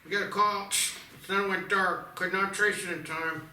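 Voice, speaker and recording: A man's voice: high voice, fairly even intonation, measured pace, pushed and bright, heavily acted. A man playing a harried policeman talking over the radio in an amateur screenplay table read. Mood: businesslike. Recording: echoey, distant mic, faint room noise.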